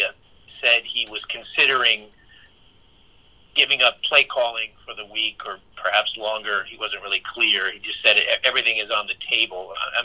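A man's voice: on the low side, low-quality recording. A male sports reporter asking long, clarifying questions over a telephone conference line. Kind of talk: asking questions on a press call. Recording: phone conference line, sharp and thin.

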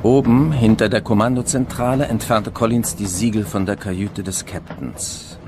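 A man's voice: lowish voice, steady tone, moderate pace, noisy background, natural voice. A man playing a sober, sceptical police investigator in a German radio crime drama. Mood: matter-of-fact narration. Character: sober, sceptical male police investigator, narrating his case.

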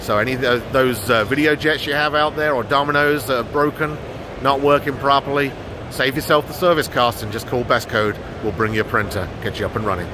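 A man's voice: medium pitch, brisk. A middle-aged man giving an informal product tour to camera as a company representative, recorded on a handheld phone in a large trade show hall. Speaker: business development manager presenting products. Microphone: handheld phone at arm's length. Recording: noisy exhibition hall ambience.

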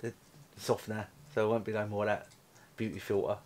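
A man's voice: on the low side, level tone, a little fast, a little mellow. A middle-aged man chatting casually on a bed, rambling and cheeky, laughing at his own jokes. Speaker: vlog co-host. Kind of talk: casual chat. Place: bedroom, sitting on a bed.

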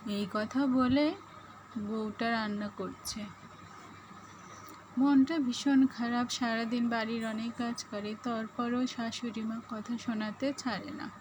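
Female cartoon storyteller: informal narration, unhurried, slightly high voice. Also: fairly even intonation, noisy background.

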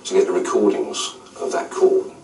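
A man's voice: low-pitched, wildly swooping pitch, normal speed, dark tone. A man giving practical advice in a one-to-one conversation. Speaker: volunteer adviser. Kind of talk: advising in conversation. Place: indoor office, away from camera. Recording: roomy, distant, faint music.

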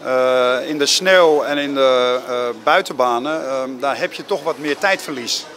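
A man managing a ski school, giving a promo interview in a halting way with many fillers. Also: medium pitch, a bit bright.

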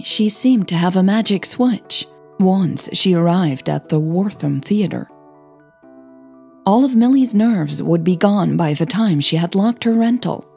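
A woman's voice: fairly low pitch, mellow, husky. A woman narrating a scripted audio story as a podcast host.

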